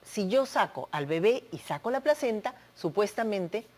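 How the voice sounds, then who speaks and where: A woman, an obstetrician guest on a TV health show, explaining medical care in a clear, methodical way and putting terms into plain words. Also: mid-range voice, animated, moderate pace, darkish tone. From Peru.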